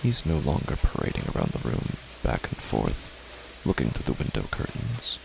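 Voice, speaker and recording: A man voicing a grieving parent alone in a dark, silent house, speaking in a slow, hushed, dreamlike monologue for a horror story. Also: a bass voice, huge pitch swings, creaky, noisy background, pitched below the performer's own voice, exaggerated voice. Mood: eerie, hushed. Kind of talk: first-person horror narration.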